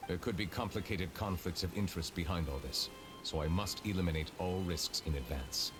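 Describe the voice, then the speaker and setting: An actor playing a solemn, reserved ancient chief justice in a game, speaking formally and gravely. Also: male voice, low-pitched, brisk, over music, close to an everyday voice. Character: ancient dragon judge in human form, solemn and reserved.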